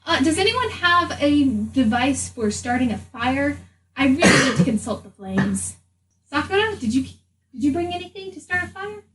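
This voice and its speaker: A woman's voice: high-pitched, big pitch swings, normal speed, a bit bright. A woman playing a tabletop game, switching casually between in-character talk and her own. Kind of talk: casual in-character role-play. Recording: distant mic, faint room noise.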